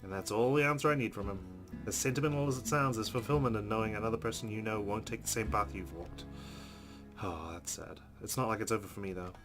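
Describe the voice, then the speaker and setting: A man's voice: fairly low pitch, fast talker. A man hosting a let's play, reading game narration aloud and adding casual comments. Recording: game music behind the voice.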